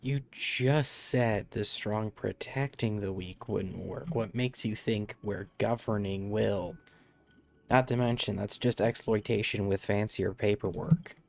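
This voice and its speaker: A man's voice: fairly low pitch, measured pace, gravelly, darkish tone. A man livestreaming a game, giving casual, skeptical commentary and arguing back at the story. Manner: argumentative, skeptical.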